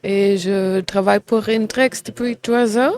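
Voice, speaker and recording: A German-accented woman, a native German speaker from Bavaria, who speaks haltingly as a podcast guest and searches for words. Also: mid-range voice, steady tone, a little mellow. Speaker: guest, business developer. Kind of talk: casual interview chat.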